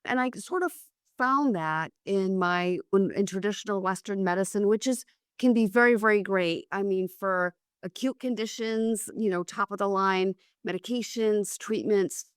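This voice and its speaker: A middle-aged woman speaks as an interview guest, her voice hoarse from a cold. She talks in a loose, conversational way, restarting sentences and filling gaps. The tone underneath stays smooth, and the recording is close and dry. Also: mid-range voice, moderate pace, no echo. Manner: conversational, with frequent restarts and fillers. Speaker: guest, psychiatric nurse practitioner. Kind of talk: casual interview chat.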